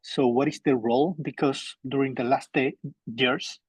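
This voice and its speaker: Husky-voiced man from Chile. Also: mid-range voice, steady tone, normal speed, dry recording, low-quality recording. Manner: polite, repetitive restarts. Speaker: audience member asking a question. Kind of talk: conference question.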